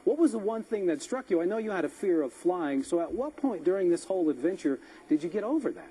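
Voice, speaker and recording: A man anchoring a live TV interview in a friendly, smooth manner. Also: sits high, big pitch swings, fast, dark tone, a little hiss or hum, poor audio. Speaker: TV news anchor.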